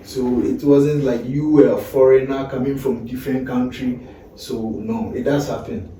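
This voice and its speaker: A man hosts a relaxed chat, prompting questions and finishing his guest's sentences. His voice is very dark and mellow. It rings through a very echoey room, picked up from a distance with a faint hiss. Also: medium pitch, moderate pace. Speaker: host asking questions. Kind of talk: casual interview chat.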